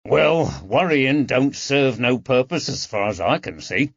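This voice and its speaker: A man's voice: medium pitch, expressive, a little slow, gravelly, breathy edge, no room sound, exaggerated voice. A man voicing a good-natured, plain-spoken working-class father with homely, colloquial speech in an audiobook. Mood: reassuring. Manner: colloquial, homely, unschooled grammar. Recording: dull lo-fi recording.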